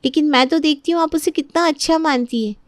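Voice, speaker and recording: A woman's voice: high-pitched, a little fast, no room sound, clean studio sound, lightly acted. A woman playing a young pregnant daughter-in-law, gossiping and resentful, in a family story drama. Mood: gossiping, aggrieved.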